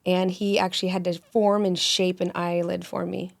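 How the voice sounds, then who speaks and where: A woman in her forties, a med spa injector, telling stories animatedly and quickly in a casual podcast chat. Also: fairly low pitch, creaky, no echo. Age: 40s. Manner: animated, rapid storytelling.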